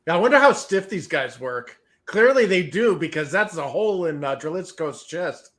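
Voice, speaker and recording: A man's voice: fairly high pitch, expressive, normal speed, a bit bright. A middle-aged man giving casual, jokey wrestling watch-party commentary, swearing freely.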